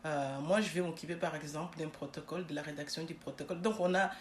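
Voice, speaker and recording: A woman's voice: deep voice, fairly even intonation, fast. A woman from Congo who grew up in Belgium, with Tshiluba as a home language, answering casually as an interview guest. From born in Congo, grew up in Belgium. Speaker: podcast guest, clinical research manager. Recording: roomy echo, faint background noise.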